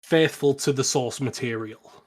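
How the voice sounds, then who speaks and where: Mid-pitched man, wry podcast co-host. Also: moderate pace. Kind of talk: casual film review chat.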